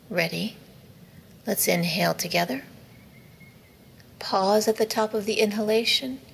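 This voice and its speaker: Slow, soothing woman guiding meditation. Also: medium pitch, creaky, breathy edge. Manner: soothing, measured, instructive. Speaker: yoga nidra meditation teacher. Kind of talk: guided meditation. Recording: faint background noise.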